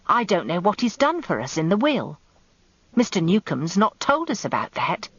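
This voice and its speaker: A woman's voice: fairly low pitch, very animated, moderate pace, a bit bright, slightly rough, dry recording, exaggerated voice. A woman voicing a blunt, resentful working-class English housekeeper who is heavy and gasps as she grumbles, in a radio drama. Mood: worried. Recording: dull lo-fi radio recording.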